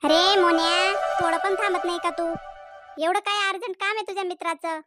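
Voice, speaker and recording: A woman's voice: very high-pitched, fairly even intonation, fairly quick, sharp tone, soft background music. A woman voicing a doting, nagging village mother in a cartoon comedy, coaxing her son to stay and eat.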